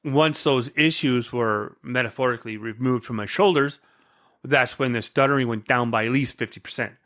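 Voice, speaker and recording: A man's voice: mid-range voice, normal speed, fairly bright tone. A man, a podcast guest, talking earnestly in a casual conversation, as if giving a short speech, with an occasional stutter. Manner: stutters at times.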